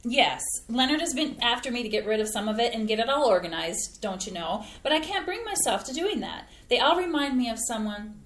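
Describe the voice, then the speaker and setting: A woman's voice: fairly high pitch, big pitch swings, a little fast, hardly any pauses, fairly bright tone, slight background noise. An actress playing a chatty, homey Midwestern mother who is proud of her cooking, in a staged reading of a play. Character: folksy chatty Midwestern mom, proud home cook. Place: community theater stage. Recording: echoey theater room.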